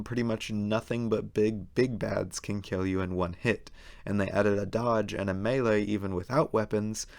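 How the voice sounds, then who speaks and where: A man hosting a video essay in a casual, opinionated commentary style, his voice hoarse and worn as if he is losing it. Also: on the low side.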